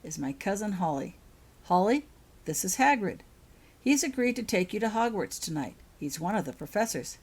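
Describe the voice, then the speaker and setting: A friendly storybook father, read by a woman, speaking with warm courtesy and calm. The voice has a slightly husky grain. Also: medium pitch, moderate pace.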